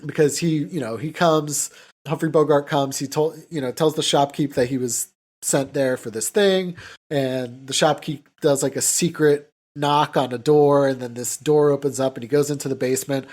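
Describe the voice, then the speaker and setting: A man's voice: a little high, steady tone, normal speed, a little mellow. A man co-hosting a podcast, recapping a film in loose, informal, sweary chat and offhandedly paraphrasing what characters say. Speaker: podcast co-host. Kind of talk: casual chat recapping and mocking an old film.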